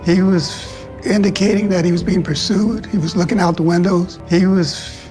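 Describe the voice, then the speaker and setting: A man with a high voice recounts events earnestly, repeating his phrases. It comes from a documentary clip. Music plays behind him. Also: steady tone, normal speed, a little mellow. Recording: distant clip over music.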